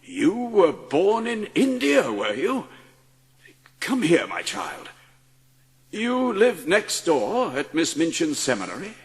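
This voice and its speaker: Sickly British gentleman, slow, husky, weary, brooding, halting, audiobook drama, long reverb. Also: fairly high pitch, wildly swooping pitch, full character voice. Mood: kindly, agitated. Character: ailing, melancholy, kindly English gentleman, brooding and anxious.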